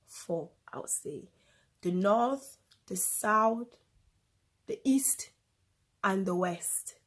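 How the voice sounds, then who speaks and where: A woman in her twenties with a Cameroonian accent chats casually to camera as a vlogger, informal and given to emphatic repetition, recorded on a phone camera. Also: on the low side. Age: 20s.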